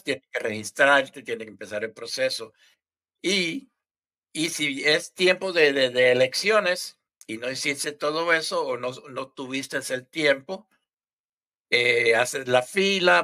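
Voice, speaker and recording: A man in his sixties from Puerto Rico, with a Puerto Rican accent, explaining things haltingly as a guest on a video call. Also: medium pitch, sharp tone. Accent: Puerto Rican Spanish. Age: 60s. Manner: halting, repeats words while explaining. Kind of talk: casual interview answers. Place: home room, indoors. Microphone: headset mic on video call.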